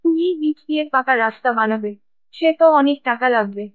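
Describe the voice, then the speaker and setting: Cartoon casting: actress as a fishmonger's nagging wife, high voice, coaxing and demanding, thin phone-like sound. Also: female voice, brisk, no echo, close to an everyday voice. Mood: concerned, questioning. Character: demanding, wheedling village wife of fish seller. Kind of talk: Bengali cartoon story acting.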